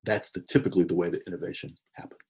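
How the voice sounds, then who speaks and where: A middle-aged man speaks in a low, dark and mellow voice with a husky edge. He talks without a script about church work, stopping often for fillers and restarting sentences. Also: fairly even intonation. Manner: halting, with many fillers and restarts. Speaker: church consultant and author. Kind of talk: unscripted talk on church ministry.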